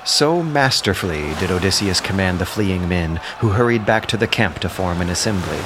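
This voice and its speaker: Casting: male narrator, lowish voice, solemn scripted delivery for an epic audio drama, clear background noise underneath. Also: expressive, moderate pace.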